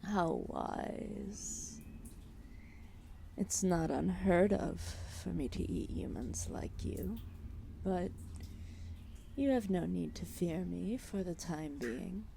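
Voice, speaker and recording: A woman's voice: on the low side, animated, creaky, dark tone, slight background noise, heavily acted. A woman voicing a giant, ancient serpent deity, regal, drowsy and amused, speaking in formal, condescending phrases in an ASMR roleplay audio drama. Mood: languid menace. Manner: slow, languid, formal, condescending speech.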